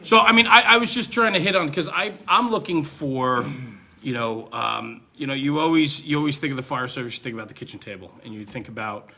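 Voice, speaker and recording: A man's voice: mid-range voice, brisk, bright, forceful tone. A man hosting a casual podcast conversation, talking informally with his guests. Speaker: podcast host.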